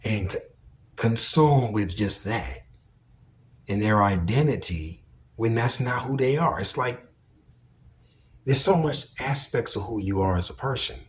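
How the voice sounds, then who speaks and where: A man giving a blunt, profane, opinionated monologue in a deep, projecting voice. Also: a little hiss or hum. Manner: blunt, profane, preachy and emphatic. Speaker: commentary vlogger.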